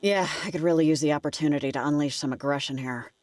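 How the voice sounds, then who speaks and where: A woman's voice: low-pitched, quick pace, darkish tone, lightly acted. An actress playing a wry, skeptical young woman in a video game, speaking in a naturalistic, understated, conversational way. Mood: dry, determined. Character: dry-witted, skeptical young woman exploring a cult's hideout. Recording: music behind the voice.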